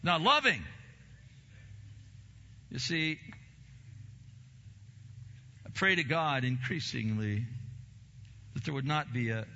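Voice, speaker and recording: A pastor preaching a sermon in a church, a man with a big voice who swings from low, slow stresses to loud, emphatic bursts. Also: animated, long reverb tail, lo-fi sound.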